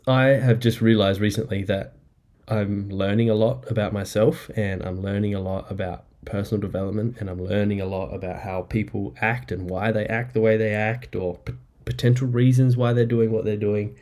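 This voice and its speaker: A man hosting a casual solo podcast, rambling and upbeat, a bit nasal and croaky from the flu, recorded on a laptop mic in an apartment. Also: fairly low pitch, fairly even intonation, moderate pace, a little mellow. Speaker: podcast host. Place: apartment indoors. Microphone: laptop microphone.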